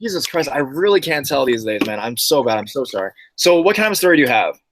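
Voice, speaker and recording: A man's voice: medium pitch, sing-song, fast talker, crowd noise. A young adult man who reads viewer horror stories aloud and then chats loosely with his live-stream audience, full of slang. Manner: slangy, loose and chatty, calls listeners bro and dude.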